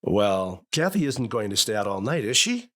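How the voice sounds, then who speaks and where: A man's voice: lowish voice, very animated, moderate pace, fairly bright tone. An actor playing a good-natured but easily exasperated middle-aged American father in a 1950s radio sitcom, with an avuncular, take-charge way of talking. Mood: affectionate.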